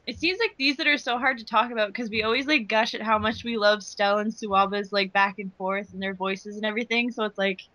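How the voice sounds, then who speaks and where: Bright-voiced woman, chatty commentary. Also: medium pitch, steady tone, brisk, few pauses, dry recording, rough recording. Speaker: commentator, fan dub voice actress and editor. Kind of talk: casual chat.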